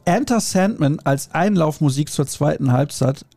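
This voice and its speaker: A man's voice: on the high side, animated, normal speed, mellow, no echo. A man hosting a podcast in a studio, chatting and teasing his guest in a joking way. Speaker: podcast host. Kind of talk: casual chat.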